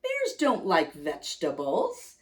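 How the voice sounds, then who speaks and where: A woman's voice: lowish voice, huge pitch swings, slow pace, distant mic, heavily acted. A woman voicing a curious, eager young boy in a bedtime storybook, asking questions.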